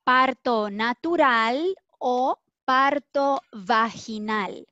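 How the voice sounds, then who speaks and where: A young woman interpreting medical terms on a video call, speaking in a careful, measured way. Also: on the high side, sharp tone, no echo. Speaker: Spanish interpreter. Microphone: laptop mic on video call. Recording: dull dry video call audio.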